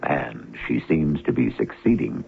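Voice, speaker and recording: Male mystery host: low, creaky, animated, sardonic scripted narration, vintage radio sound. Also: phone-quality sound. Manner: theatrical, sardonic, measured. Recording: band-limited old radio broadcast, music behind.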